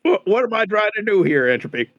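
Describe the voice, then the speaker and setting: A man's voice: sits high, very animated, fast talker, no echo, muffled recording, voice pushed up. A man voicing a proud, stubborn male coach who is defensive about his hat. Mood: exasperated. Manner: exasperated and defensive.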